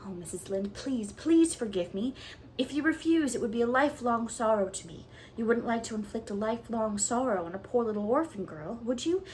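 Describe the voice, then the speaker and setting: A woman's voice: mid-range voice, lively intonation, brisk, a little hiss or hum, lightly acted, low-quality recording. A woman voicing a talkative, imaginative orphan girl who pleads in a theatrical, breathless way. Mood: pleading.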